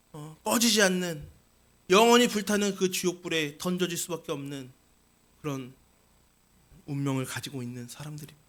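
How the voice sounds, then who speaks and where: A male pastor delivers a Sunday sermon in a church sanctuary, his voice sitting high. He talks earnestly and directly to his listeners, often turning to rhetorical questions. The tone stays bright and forward throughout. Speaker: senior pastor.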